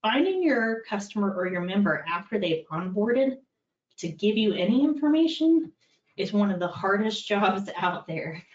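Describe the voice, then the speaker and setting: A woman's voice: medium pitch, big pitch swings, moderate pace, reverberant. Middle-aged woman giving a practical talk, dull sound, mic kinda far. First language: English. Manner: conversational, practical, with frequent fillers. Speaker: compliance executive presenting. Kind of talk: candid webinar talk.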